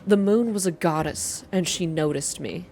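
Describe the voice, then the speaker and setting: Low-voiced woman reading a story softly. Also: moderate pace, a little hiss or hum. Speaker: narrator reading a visual novel game aloud. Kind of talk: ASMR storytelling, first-person narration read from text.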